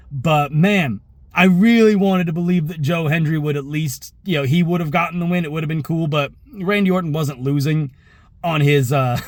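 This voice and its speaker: A man with a fairly high voice and a touch of hoarseness gives his opinions as a show host. The recording is dry and close. He talks casually and conversationally, wandering into asides and correcting himself as he goes. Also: no room sound. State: slightly hoarse. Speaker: wrestling reaction show host. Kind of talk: casual opinion commentary to viewers.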